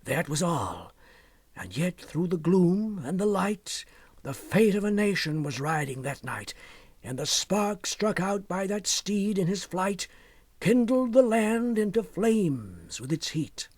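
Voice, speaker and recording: Husky, higher-voiced man reciting verse. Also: big pitch swings, takes it slowly, dry recording. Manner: theatrical, with swells and hushed drops to near whisper. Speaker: poetry reader. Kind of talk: dramatic recitation of narrative poem.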